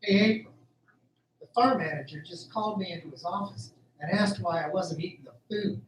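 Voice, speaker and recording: In an echoey, slightly distant recording, a man plays an earnest farmhand in a radio play. His voice sits fairly high, and he talks plainly with a touch of uneasy hesitation. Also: a little fast, natural voice. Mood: puzzled. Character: earnest, polite, uneasy young male farm worker. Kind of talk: radio drama acting. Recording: roomy, distant, faint noise.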